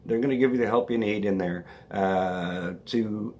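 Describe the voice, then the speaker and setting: A man hosting his own vlog, slightly raspy from allergies, talking casually and rambling, often checking in with the viewer. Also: fairly low pitch, moderate pace, darkish tone, slight background noise. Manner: chatty, rambling, checks in with "all right?". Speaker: vlog host. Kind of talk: casual talk to camera.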